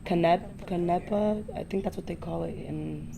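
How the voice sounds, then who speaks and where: A woman's voice: fairly low pitch, moderate pace, vocal fry, dark tone, a little hiss or hum. A young woman born in the Dominican Republic, a farm co-founder, telling stories from her childhood in casual podcast talk.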